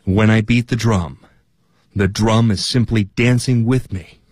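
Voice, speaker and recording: A man with a fairly low voice reads a translated voice-over. His delivery is plain and measured. It sounds like a studio dub over an interview. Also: fairly even intonation, darkish tone, no echo. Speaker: drum troupe member, dubbed English voice-over.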